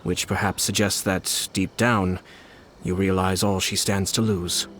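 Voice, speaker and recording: An actor playing a composed young noble commissioner who speaks in measured, polite, calculating tones. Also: male voice, deep voice, flat delivery, background noise. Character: calm, scheming young male noble commissioner. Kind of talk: video game cutscene dialogue.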